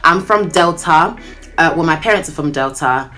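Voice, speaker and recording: A woman in her twenties from Nigeria, chatting casually through a Q&A on her vlog, playful and quick to joke. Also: deep voice, pushed and bright, faint music behind. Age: 20s. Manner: chatty and playful, jokes and laughs often. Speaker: YouTuber.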